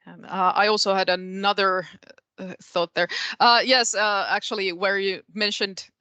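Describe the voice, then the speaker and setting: Woman, native Finnish speaker with Finnish accent; bright, dry-sounding; academic guest answering with careful hesitation. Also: mid-range voice, fairly even intonation, normal speed, clean tone, no echo, lo-fi sound. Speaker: guest speaker, translation researcher. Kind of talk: academic question-and-answer discussion.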